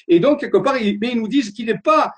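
A man's voice: very high voice, fast talker. A man giving an earnest slide-show lecture, wandering from point to point and often repeating his words as he searches for them.

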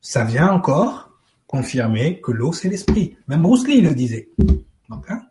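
A man's voice: on the high side, sing-song, unhurried, darkish tone. A man speaking informally as a guest on a live spiritual web show, acting out little exchanges as he explains. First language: French.

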